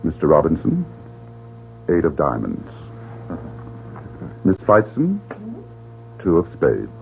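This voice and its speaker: Tinny humming old radio recording, sinister commanding director, low gravelly voice, theatrical, deliberate. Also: male voice, huge pitch swings, slight background noise, full character voice. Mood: savouring suspense. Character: coldly commanding, sinister suicide club director savouring suspense. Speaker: radio drama actor. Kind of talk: old-time radio mystery acting.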